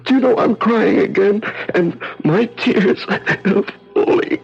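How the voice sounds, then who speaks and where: An actor playing a broken, gentle, half-mad male prisoner in a 1970s radio drama, halting, stammering and pleading. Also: high voice, gravelly, breathy edge, lo-fi sound, heavily acted. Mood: tearful, tender. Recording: old radio broadcast with music.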